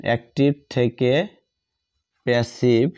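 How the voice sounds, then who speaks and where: A man teaching English grammar, repeating words slowly as he writes them out. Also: medium pitch, little pitch movement, darkish tone, clear, resonant tone. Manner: slow, repeats words while writing them out. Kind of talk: slow classroom explanation while writing. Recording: dull lo-fi audio.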